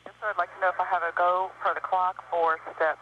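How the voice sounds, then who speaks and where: A man's voice: high voice, little pitch movement, quick pace. A man working as a console operator, making polite, procedural calls over a technical radio loop. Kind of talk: clipped technical radio call. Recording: dull, hissy radio intercom.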